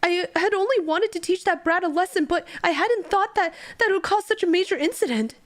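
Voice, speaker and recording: A woman voicing a hot-tempered young male servant who rants through tears. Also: brisk. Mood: regretful. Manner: high, tearful ranting.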